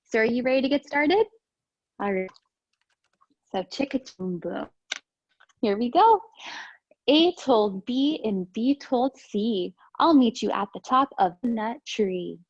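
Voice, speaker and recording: Slow, high-voiced woman reading to children. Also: no room sound, dull audio. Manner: sing-song, expressive, encouraging. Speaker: preschool teacher reading storytime. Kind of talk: animated read-aloud for young children.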